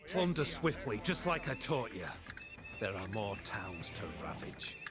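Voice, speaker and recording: A low-voiced, ruthless Spartan admiral from a video game. He speaks slowly and commandingly, with bitter contempt and big theatrical swings in pitch. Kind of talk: dramatic video game acting.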